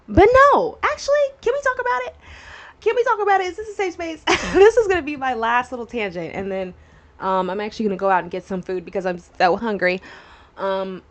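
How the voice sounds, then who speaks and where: A woman in her twenties hosting a solo podcast, talking casually and rambling through tangents with many fillers. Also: medium pitch. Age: 20s. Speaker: podcast host.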